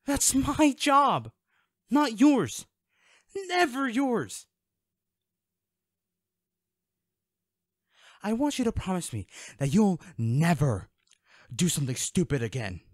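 Protective male bodyguard, played by a man for audio drama: emotional, halting, unhurried, with wide pitch swings. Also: big pitch swings, no room sound, clean studio sound, heavily acted. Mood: pleading, heated. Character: protective, worried male bodyguard scolding a loved one. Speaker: audio roleplay voice actor. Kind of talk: intimate dramatic audio roleplay.

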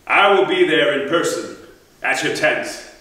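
A man performs a commanding, arrogant king of ancient Greece. He is haughty and menacing, with a bright, fairly high voice. The sound is very reverberant, like a live theatrical telling in a hall. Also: lively intonation. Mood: haughty, threatening. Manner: haughty, deliberate threats. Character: arrogant, threatening Greek king, contemptuous and commanding. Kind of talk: theatrical live epic storytelling. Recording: echoey hall, distant from microphone.

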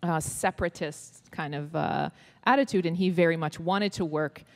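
A woman in her forties with an American accent and a lowish voice, speaking into a handheld mic on a large stage. She talks candidly and pokes fun at herself, with frequent ums along the way. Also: normal speed. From Israel. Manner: candid, self-deprecating, many fillers. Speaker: ministry leader, guest. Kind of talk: onstage interview.

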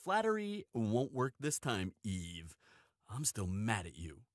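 A man's voice: lowish voice, huge pitch swings, slow pace, no echo, studio quality, heavily acted. A man playing a cheeky, shrewd weaponsmith in an audio roleplay, with a playful, theatrical delivery. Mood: mock-wounded, playful. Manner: playful, mock-wounded, dramatic. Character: cheeky, theatrical male weaponsmith and shrewd old family friend. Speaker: guest voice actor in audio roleplay. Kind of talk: scripted audio drama acting.

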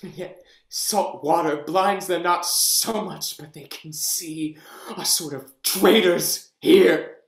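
An actor playing a deposed young king, bitter and theatrical, in a play reading over a video call, swinging from hushed whispers to anguished shouts. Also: a little high, slow, reverberant. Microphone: webcam on a video call.

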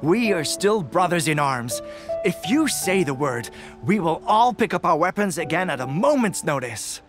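High-voiced, animated loyal soldier. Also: male voice, normal speed, music in the background. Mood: rousing. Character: loyal male soldier, cheerful, eager brother in arms. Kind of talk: scripted video game voice acting.

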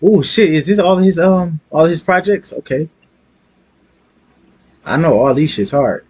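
A man's voice: a little high, a little slow, darkish tone, husky. Slangy, swearing man reacting to music. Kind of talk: casual reaction commentary. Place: small streaming room indoors.

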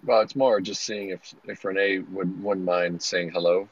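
A man from the Chicago area with a slightly raspy voice, chatting in a friendly, jovial way. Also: low-pitched, fairly even intonation, moderate pace. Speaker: club member. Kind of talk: casual chat. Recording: dry muffled lo-fi audio.

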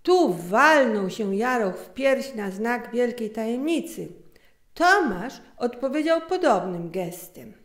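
A middle-aged woman narrating a scripted story in an unhurried, expressive manner. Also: a little high. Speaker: author and narrator. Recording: roomy, echoing sound.

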